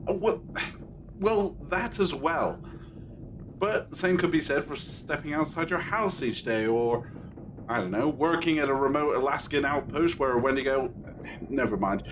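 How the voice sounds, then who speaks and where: A man's voice: on the high side, moderate pace, lightly acted. A man playing an eccentric, whimsical time-travelling adventurer in an audio drama, musing and a little self-satisfied, over noise. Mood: breezy, whimsical. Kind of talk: scripted audio drama acting.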